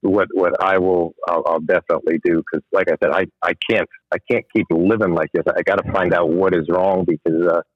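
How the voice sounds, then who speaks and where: A hoarse man with a very deep voice calls in over a muffled phone line. He speaks in a worried, halting way, stopping and restarting often. The sound is dry and close. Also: flat delivery, no room sound. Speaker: caller.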